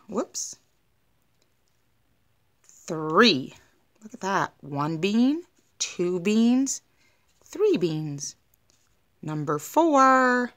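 Slow-talking middle-aged woman teaching preschoolers. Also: fairly low pitch, fairly bright tone. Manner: simple, step-by-step instructing. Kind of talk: hands-on lesson for young children. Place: carpeted living room at home.

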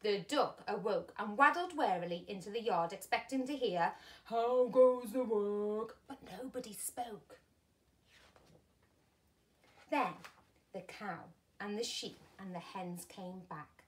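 A woman in her thirties reading a children's story like a primary teacher, expressively and with dramatic pauses. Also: mid-range voice. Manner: animated, deliberate, dramatic pauses. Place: small furnished room at home, indoors.

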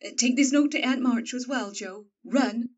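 A woman playing a calm, loving mother of four who speaks gently and steadily. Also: a little high, normal speed, distant mic, muffled recording, natural voice.

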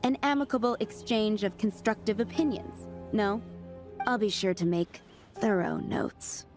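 A sly, haughty envoy from a video game played by a woman. She talks down to people in a smooth, mid-pitched voice over faint music. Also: expressive, unhurried, close to an everyday voice. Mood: smug. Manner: condescending, smooth-tongued. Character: haughty, sly masked female diplomat villain.

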